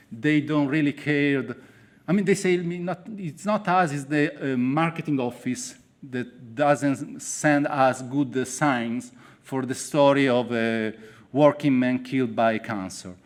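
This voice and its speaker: A man from Italy with an Italian accent, an author telling his own story at a festival in halting, broken English. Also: mid-range voice. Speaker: author on a panel. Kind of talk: personal account to a festival audience.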